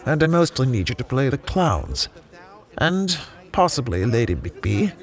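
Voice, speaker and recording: An actor playing a brisk, tactful director of a traveling theater troupe in an audio comedy, polite and instructive. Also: male voice, medium pitch, sing-song. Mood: persuasive. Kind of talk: audio drama comedy acting.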